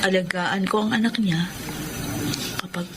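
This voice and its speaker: A woman's voice: mid-range voice, fairly even intonation, a little slow, heavy background noise, natural voice. An actress playing a caring, earnest young aunt who mothers a baby, in a Filipino radio drama. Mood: sad realization. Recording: radio drama with loud background ambience.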